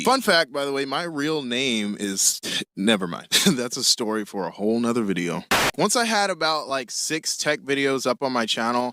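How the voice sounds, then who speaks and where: A man's voice: mid-range voice, pushed and bright, no room sound, clean studio sound. A young man narrating his own life story in a casual YouTube storytime style, with joking asides to the viewer. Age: young adult.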